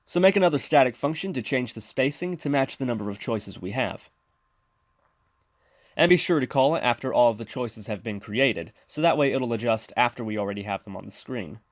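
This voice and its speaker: A man's voice: mid-range voice, lively intonation, a little fast, dry recording. A man giving scripted instructional narration as a tutorial presenter, explaining methodically step by step. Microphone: close mic, screen-recording voice-over.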